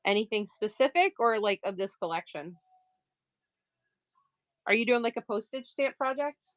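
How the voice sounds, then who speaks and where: A woman's voice: a little high, lively intonation, normal speed, dry recording. A woman from Maine with a Maine accent, chatting casually and laughing as she sews during a live stream. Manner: chatty, laughs often, self-mocking asides; talks while working, moving around the table. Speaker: quilter streaming live sales.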